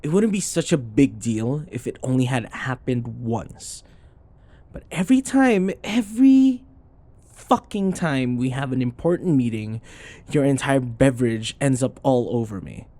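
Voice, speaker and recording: A man's voice: mid-range voice, expressive, measured pace, warm tone, no echo, natural voice. A man playing a sarcastic, irritable office rival who taunts and scoffs, in a scripted boyfriend audio roleplay. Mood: irritated. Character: snarky, irritable male office rival, teasing and combative.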